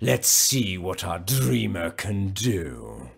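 A gloating villain rat king in a radio pantomime. He taunts slyly in a creaky, slow drawl that swoops widely, with music faintly underneath. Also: low voice, sing-song, full character voice. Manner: theatrical drawn-out taunting with villain laughs. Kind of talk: pantomime radio play acting.

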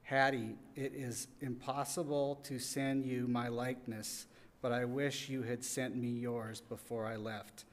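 A middle-aged man reads an old letter aloud at a gallery podium. His voice sits at an ordinary middle pitch. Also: level tone, a little slow. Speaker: readers theater reader. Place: museum gallery, indoors at a podium. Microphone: gooseneck podium microphone.

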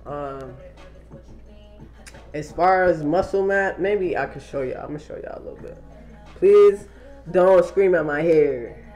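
A man's voice: on the high side, a little slow, long pauses, a little mellow, soft background music. A young man talking casually to a camera held at arm's length in a small wood-paneled room, using loose slang and swearing freely. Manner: laid-back slangy talk, swearing. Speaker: vlogger. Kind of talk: casual vlog update to camera.

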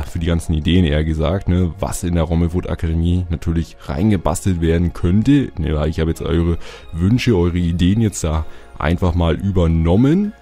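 A man with a cold and a slightly hoarse voice, chatting casually as he gives a tour of his build and keeps adding little filler tags. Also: deep voice, mellow. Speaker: Minecraft YouTuber. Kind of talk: casual gameplay tour narration.

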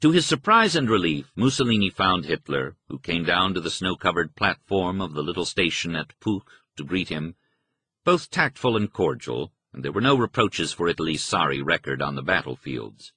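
Low, gravelly-edged male audiobook narrator. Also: very animated, moderate pace, pushed and bright, dry recording. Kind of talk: scripted narration of a history book.

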